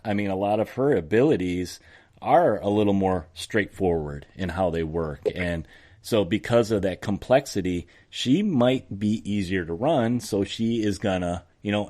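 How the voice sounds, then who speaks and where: Low-voiced man chatting on a gaming podcast. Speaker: podcast host. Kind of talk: casual tabletop gaming podcast chat.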